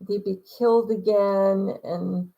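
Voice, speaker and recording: A woman hosting a casual livestream, answering viewer questions in a quiet voice, rambling with many fillers and restarts. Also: mid-range voice, fairly even intonation, slow pace, creaky, narrow, tinny audio. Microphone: phone.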